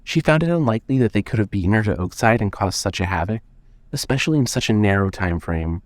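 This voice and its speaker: Low-voiced male narrator, dry studio sound. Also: animated, fast talker, no room sound. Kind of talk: scripted suspense fiction narration.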